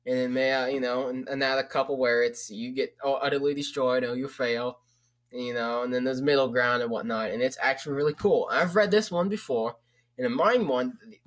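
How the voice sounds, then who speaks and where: A man's voice: mid-range voice, a little fast. Rambling young guy. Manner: rambling, casual, swears freely, frequent fillers. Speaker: vlogger. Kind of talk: casual book recommendation.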